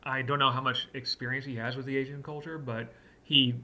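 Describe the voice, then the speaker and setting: A man's voice: mid-range voice, fairly even intonation, fairly quick, pushed and bright. A man co-hosting a casual movie review chat, rambling a bit and leaning on fillers like "like" and "uh". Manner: rambling, heavy on like and uh. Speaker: co-host.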